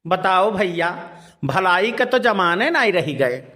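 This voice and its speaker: A man's voice: high voice, big pitch swings, slow, pushed and bright, full character voice. A man playing a folksy, rambling village uncle in a cartoon, with an Awadhi accent. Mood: chiding. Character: folksy village uncle telling tall anecdotes. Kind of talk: cartoon storytelling in rustic dialect.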